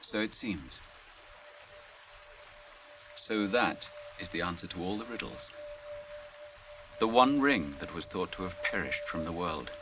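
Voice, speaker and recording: Noble captain, sweeping low voice. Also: male voice, expressive, measured pace, long pauses, music in the background. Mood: menacing, triumphant. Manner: formal, archaic, theatrical delivery. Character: noble, grave captain of men, proud yet merciful. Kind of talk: 1980s radio drama acting. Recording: radio drama with clear background noise.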